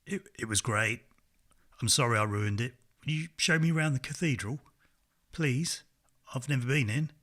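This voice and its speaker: A man's voice: medium pitch, moderate pace, fairly bright tone, close to an everyday voice. A man reading a short story, voicing an uneasy, pleading male friend who stammers apologetically. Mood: meek, apologetic. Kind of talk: acted dialogue in a literary audiobook.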